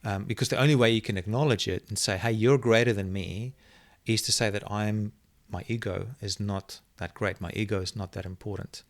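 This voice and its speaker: Low-voiced man, native Afrikaans speaker. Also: fairly even intonation, brisk, few pauses, dry recording. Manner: thoughtful, searching for words, many restarts. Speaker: interview guest. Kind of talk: reflective personal storytelling.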